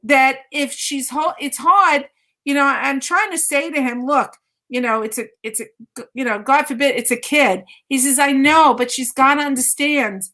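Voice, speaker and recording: A woman's voice: high voice, moderate pace, sharp tone. A woman giving a conversational live mediumship reading, insistently repeating phrases and relaying messages as quotes.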